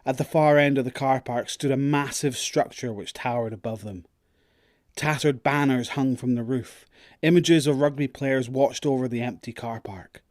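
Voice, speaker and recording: A man's voice: medium pitch, fairly even intonation, moderate pace, darkish tone, dry recording, clean studio sound. A man narrating a scripted audio drama as its storyteller.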